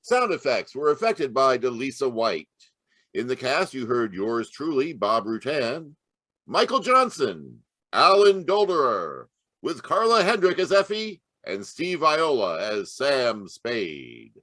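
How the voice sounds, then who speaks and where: Animated man announcing old-time radio. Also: mid-range voice, slow, no echo.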